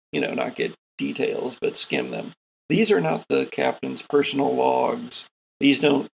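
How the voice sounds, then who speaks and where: A man running a tabletop sci-fi game, narrating scenes in an improvised, deliberate and descriptive way as game master. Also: mid-range voice, gravelly, a little hiss or hum, rough recording.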